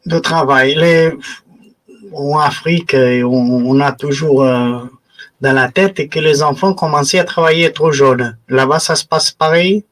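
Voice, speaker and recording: Higher-pitched man hosting an informal interview. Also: a little slow, a bit bright. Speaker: interviewer and host.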